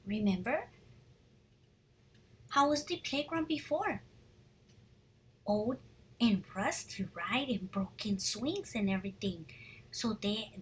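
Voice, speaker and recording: A woman teaching young children through a picture-book read-aloud, animated and encouraging, pausing to ask questions, with a husky, breathy voice. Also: mid-range voice, rough recording.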